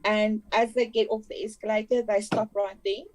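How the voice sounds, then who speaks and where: A woman's voice: medium pitch, flat delivery, moderate pace, low-quality recording. A native Afrikaans-speaking woman with a South African accent, answering casually as a podcast guest. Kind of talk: casual interview chat.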